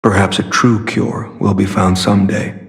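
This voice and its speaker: An actor voicing a grave, courteous, weary warrior in a video game, with formal, measured delivery. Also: male voice, sits low, little pitch movement, vocal fry. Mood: grave, thoughtful. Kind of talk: dramatic video game voice acting.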